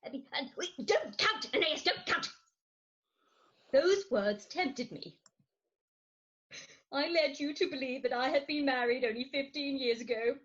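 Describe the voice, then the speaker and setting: Casting: woman as indignant, cajoling Victorian mother, high swooping delivery, emphatic, stage comedy, dull sound. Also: fairly bright tone. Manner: theatrical, emphatic. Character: Agatha Posket, dramatic middle-aged Victorian wife and indignant, cajoling mother. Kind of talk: comic Victorian stage play reading. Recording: dull lo-fi remote recording.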